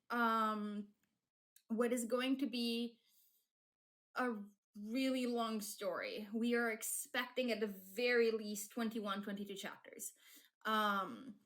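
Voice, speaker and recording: A woman whose first language is Polish chats loosely through a live stream. Her voice sits a bit high, and she keeps restarting her sentences and filling the gaps with ums. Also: fairly bright tone. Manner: chatty, many fillers and restarts, laughs often. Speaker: streamer and fanfic writer. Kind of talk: casual live-stream chat.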